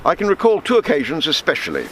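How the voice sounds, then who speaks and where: An older man reading a true sea tale aloud as a storyteller, measured, sometimes stumbling and repeating words. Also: fairly high pitch, wildly swooping pitch, a bit bright, a little hiss or hum, rough recording. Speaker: storyteller reading his book.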